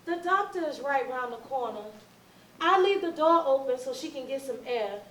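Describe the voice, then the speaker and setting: A woman's voice: sits high, animated, moderate pace, fairly bright tone. An actress playing a stubborn, suspicious mother in a staged reading, speaking folksy Southern dialect. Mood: wistful, coaxing. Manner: folksy, colloquial, wavering between coaxing and fury. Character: Emma, stubborn, suspicious mother who stalls and rages. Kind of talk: staged readers theatre, Southern period dialect. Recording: echoey, distant, noisy stage recording.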